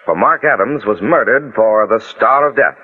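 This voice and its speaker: A man's voice: on the low side, lively intonation, normal speed, slightly rough. A man playing a solemn, dramatic announcer, reading scripted narration in 1940s radio style. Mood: solemn, suspenseful. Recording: dull band-limited old 1940s radio recording. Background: faint music.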